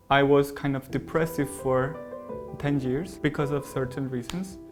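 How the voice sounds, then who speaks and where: A man from Spain, a panel guest, talking openly and reflectively about his own experience. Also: fairly high pitch. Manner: open, reflective. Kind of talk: candid personal discussion.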